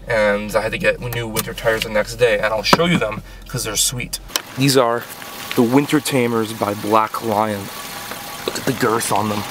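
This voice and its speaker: Young canadian guy rambling about his car, lots of ums, faint car noise behind. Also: fairly low pitch. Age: young adult. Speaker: vlogger. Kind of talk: casual car vlog chat. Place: inside a small car, later outdoors in a snowy lakeside parking lot.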